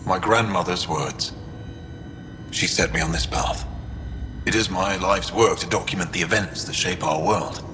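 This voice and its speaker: Man playing a low-voiced courtly alien scholar. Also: moderate pace, close to an everyday voice. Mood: earnest, reflective. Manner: formal, eloquent. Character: courtly, earnest male alien scholar and chronicler. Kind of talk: video game voice acting. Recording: long reverb, clear background noise.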